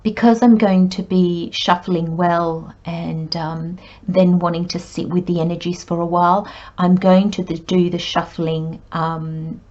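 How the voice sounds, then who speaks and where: A woman giving a spiritual tarot reading, her voice croaky and hoarse, speaking in a calm, reassuring way. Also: fairly low pitch, a little slow, muffled recording. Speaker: tarot reader.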